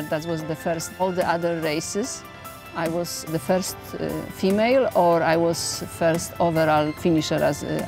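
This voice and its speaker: Middle-aged Polish woman, gravelly low voice, halting English, music behind. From Poland. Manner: halting, non-native phrasing with many restarts. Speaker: ultramarathon runner. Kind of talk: documentary interview.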